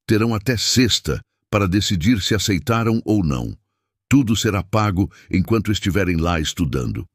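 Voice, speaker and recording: Male actor as stately school principal for audio drama, lowish husky voice, measured and formal. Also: no room sound, studio quality. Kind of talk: scripted audio drama acting and announcing.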